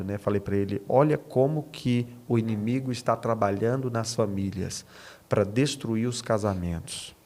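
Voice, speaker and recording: A Brazilian man speaking as a pastor and podcast guest, earnest and emphatic, mixing conversational preaching with anecdotes and rhetorical questions. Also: fairly low pitch. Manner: earnest, emphatic, punctuates points with rhetorical questions and tag checks.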